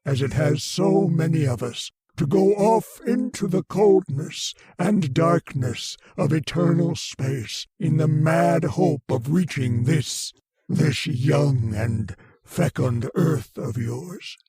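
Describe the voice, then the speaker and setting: An actor playing an ancient, arrogant alien mind in an old-time radio horror play, rasping and declaiming with pompous contempt. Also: male voice, on the high side, slow pace, dark tone, heavily acted. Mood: hungry menace. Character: ancient arrogant alien mind, a menacing meteor-borne invader.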